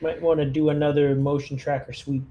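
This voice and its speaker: A man's voice: on the high side, level tone, brisk, warm tone, highs cut off. A man playing a tabletop roleplaying game, chatting in a casual, joking way.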